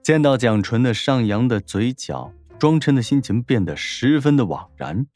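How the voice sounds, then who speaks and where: A man's voice: mid-range voice, expressive, moderate pace, darkish tone, faint music behind. A man narrating a scripted story as an audiobook narrator, in a dramatic storytelling style.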